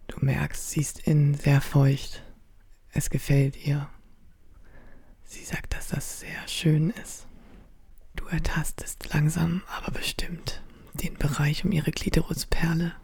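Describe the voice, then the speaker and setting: A woman whispers close to the mic in a slow, intimate, breathy voice. Her tone stays flat and dark, with a gravelly edge under the hush. The sound is dry and close, with no room around it. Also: sits low, no room sound. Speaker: narrator. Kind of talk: whispered second-person audio drama narration. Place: studio.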